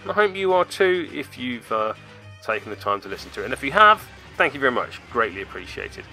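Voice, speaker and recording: A man's voice: medium pitch, big pitch swings, a little fast, vocal fry, sharp tone, soft background music, low-quality recording. A man hosting a web series, giving jokey, self-deprecating commentary on tabletop games with theatrical turns of phrase. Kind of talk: tabletop game video commentary.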